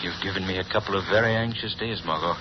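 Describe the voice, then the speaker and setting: Calm gentleman lead, male actor, low voice, hissy old radio. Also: fast talker, close to an everyday voice. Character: Lamont, calm gentleman hero, man. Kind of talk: 1930s radio drama. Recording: noisy dull 1930s radio recording.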